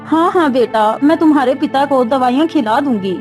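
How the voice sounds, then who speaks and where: A woman's voice: high-pitched, moderate pace, a little mellow, soft background music, close to an everyday voice. A woman voicing an elderly, caring, indulgent village mother in a cartoon. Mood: agreeable.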